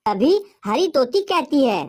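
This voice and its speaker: A woman's voice: sits high, big pitch swings, unhurried, a little mellow, slightly rough. A woman narrating a scripted cartoon story as its storyteller.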